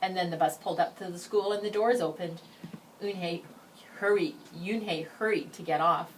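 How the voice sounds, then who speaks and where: A slightly low-voiced woman reads a picture book aloud in an expressive storytelling style. She sits back from the microphone, with faint noise around her. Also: moderate pace. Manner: expressive, acts out the dialogue. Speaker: story reader. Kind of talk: read-aloud of a children's picture book with brief asides. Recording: distant microphone, background noise.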